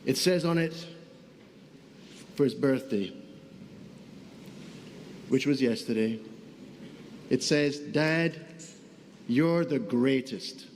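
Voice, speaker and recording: A middle-aged man giving a formal funeral speech, deliberate and pausing often. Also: mid-range voice, vocal fry, a little mellow, a little hiss or hum. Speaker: son giving funeral tribute. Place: funeral service.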